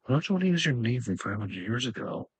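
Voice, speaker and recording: A man's voice: mid-range voice, sing-song, quick pace, husky, no room sound, low-quality recording. A man chatting casually and off the cuff while playing a game.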